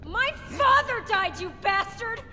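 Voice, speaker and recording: An anxious but defiant young woman in a video game drama. Her high voice moves widely in pitch and speaks with emotional urgency. Long reverb and loud music surround it. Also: animated, a little slow. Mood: angry, distraught.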